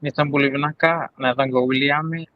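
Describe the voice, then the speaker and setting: Man, 20s, hesitant, filler-heavy, mid pitch, muffled distant call audio. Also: steady tone. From Fiji. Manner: hesitant, many pauses and fillers. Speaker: guest business owner.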